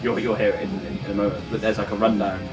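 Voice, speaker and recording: A man, a band guitarist, chatting casually about his album in a halting way, repeating words as he goes. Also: lowish voice, flat delivery. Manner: hesitant, repeats words, chuckles often. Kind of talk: casual chat. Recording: distant mic, guitar music behind. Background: band's album track playing.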